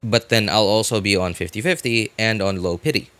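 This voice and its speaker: Low-voiced man chatting on a game stream. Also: brisk, fairly bright tone, no room sound. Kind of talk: casual live stream chat with viewers. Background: music.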